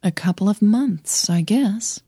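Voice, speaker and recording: A woman voicing a soft-spoken, gentle young female opera singer in an audio drama. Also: mid-range voice, expressive, unhurried, breathy edge, no echo, studio quality, voice pushed up. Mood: hesitant.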